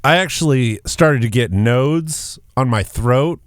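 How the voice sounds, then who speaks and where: A man who is a guest on a podcast, a comedian and punk singer, talking loudly and easily in casual conversation and often laughing. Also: medium pitch, expressive, slow, no echo, studio quality. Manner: loud-voiced, laughing, easygoing storyteller.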